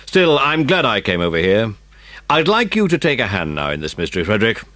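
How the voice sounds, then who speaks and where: A man playing a genial, confiding British police chief with dry amusement, measured and authoritative, in an audiobook drama. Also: lowish voice, huge pitch swings, fairly bright tone, no echo.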